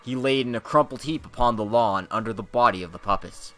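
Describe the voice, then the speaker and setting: Male narrator for a dramatic fantasy reading, bright and forceful tone, mid pitch, faint music underneath. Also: a little fast.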